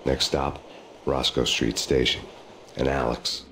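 Male game lead: grim hardboiled cop, extremely low voice, deadpan noir narration, noisy track. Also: slow pace, full character voice. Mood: brooding. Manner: brooding, deadpan. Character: grim, world-weary hardboiled undercover cop narrating his story.

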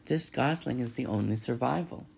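A man's voice: medium pitch, moderate pace, creaky, a little mellow, husky. A man voicing a polite, literal-minded female robot in a storybook, with precise, formal phrasing. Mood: plain, literal.

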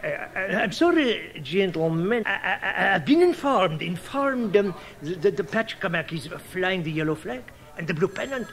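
Radio drama part: officious, stammering Peruvian police chief, apologetic, high-pitched with some fry. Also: male voice, sing-song, normal speed, hardly any pauses, fairly bright tone, exaggerated voice. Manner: stammering, formal.

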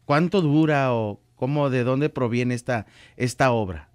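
A man hosting a radio interview, relaxed and encouraging with frequent murmurs of agreement. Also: mid-range voice, unhurried, smooth, dry recording, faint music behind. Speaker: radio host. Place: radio studio.

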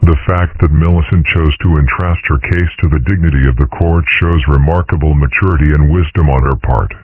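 A man voicing gruff, pompous male animals in a comic strip dub, with stilted, formal delivery. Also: a bass voice, fairly quick, dry recording. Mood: solemn. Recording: muffled, narrow phone-like sound.

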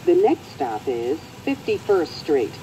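An American woman reading scripted transit announcements in a measured, formal manner. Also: low voice, very animated, dark tone, a little hiss or hum, poor audio.

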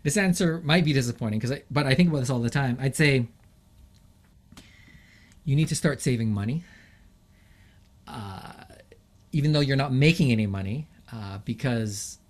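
A middle-aged man from England, an interview guest, talking thoughtfully with frequent fillers and pauses. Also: medium pitch. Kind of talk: reflective interview conversation.

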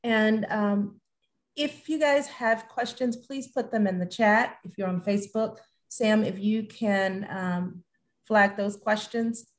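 A woman's voice: mid-range voice, takes it slowly. A middle-aged woman hosting a live online panel from a room at home over a video call. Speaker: host, moderator.

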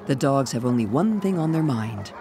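Guy narrating a nature doc, voice goes up and down a lot, music playing loud behind. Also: mid-range voice, expressive, normal speed. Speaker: documentary narrator. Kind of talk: scripted narration.

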